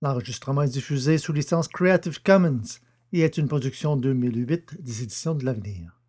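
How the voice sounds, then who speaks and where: Male audiobook narrator, deliberate and measured, warm dark tone. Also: medium pitch. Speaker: narrator and producer.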